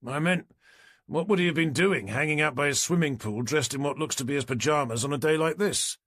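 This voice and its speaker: A man's voice: a little high, brisk, a bit bright, no room sound, pitched above the performer's own voice. A man voicing a dry, wry detective inspector asking questions in an audiobook, with a British accent. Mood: sceptical.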